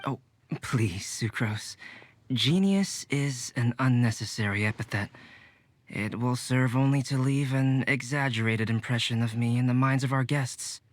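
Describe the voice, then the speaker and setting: A man voicing a calm, courteous alchemist. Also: medium pitch, unhurried, natural voice. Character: calm, modest young male alchemist. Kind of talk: game cutscene voice acting.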